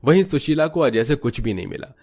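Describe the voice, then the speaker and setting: A man's voice: medium pitch, animated, a little fast, a little mellow, soft background music. A man giving scripted, melodramatic narration for a cartoon family story.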